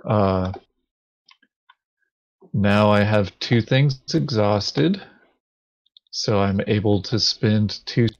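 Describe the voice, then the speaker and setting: A man thinking aloud haltingly as he plays a tabletop game in casual chat. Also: fairly low pitch. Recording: dull lo-fi voice chat audio.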